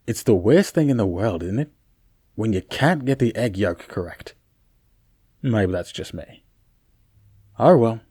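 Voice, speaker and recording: A low-voiced man played by an actor narrates his own eerie tale for a radio play. He is chatty and bewildered, with theatrical pauses and conversational asides. Faint noise runs behind the voice. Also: a little mellow, close to an everyday voice. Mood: wry, relaxed. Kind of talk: first-person scripted story narration, radio play.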